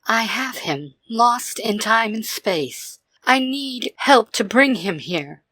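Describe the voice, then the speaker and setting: A woman playing a calm young female controller of time in an audio fan fiction, speaking earnestly and deliberately. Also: a little high, no room sound, exaggerated voice. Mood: focused.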